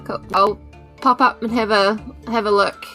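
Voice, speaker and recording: A woman's voice: medium pitch, steady tone, moderate pace, bright, forceful tone, faint music behind. A woman talking casually as a player in a tabletop game. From New Zealand.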